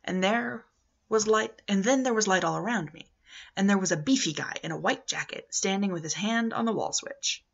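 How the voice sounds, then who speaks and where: A woman's voice: mid-range voice, normal speed, vocal fry, highs cut off. A woman in her thirties reading a novel aloud as scripted narration, recorded at home on a webcam. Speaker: amateur audiobook reader. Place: living room at home, indoors. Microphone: webcam or laptop at arm's length.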